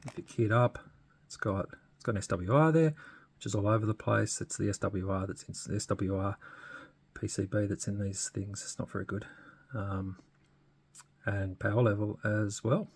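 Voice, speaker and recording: A man giving a casual, unscripted hands-on demo as a hobbyist, thinking aloud in a hesitant, understated way with a husky, gravelly voice. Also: on the low side, normal speed, a little mellow. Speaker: radio hobbyist presenting a modification demo.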